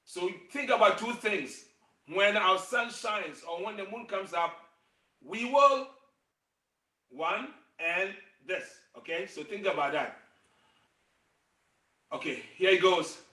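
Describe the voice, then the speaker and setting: A middle-aged man with a bright, high voice teaches a song game in an encouraging, gentle way, taking his time over every phrase. He is heard from some distance off, in a roomy, echoey space on a video call. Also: expressive, takes it slowly, pauses often. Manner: gentle, encouraging, leads call-and-response singing. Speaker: music and storytelling teacher. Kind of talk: singing game lesson for children. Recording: roomy sound from a distant mic.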